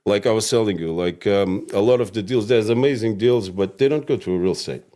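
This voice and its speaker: A man's voice: fairly low pitch, brisk, mellow. A man with a Portuguese-Australian accent, rambling casually as an interview guest and often asking "right?". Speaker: real estate guest.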